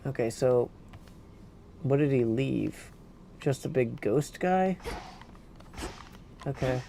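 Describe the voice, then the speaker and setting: A man's voice: mid-range voice, expressive, takes it slowly, gravelly, warm tone. Man streaming a game over background noise. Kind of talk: casual live gameplay commentary.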